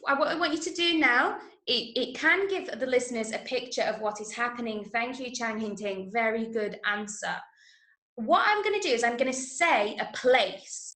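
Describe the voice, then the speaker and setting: Female workshop teacher, English accent, slow deliberate delivery, instructive and emphatic, roomy echoing sound. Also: a little high, bright, forceful tone. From Manchester, England. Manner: clear, instructive, emphatic, checking understanding often. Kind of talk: online lesson to school pupils. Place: indoors, online video call.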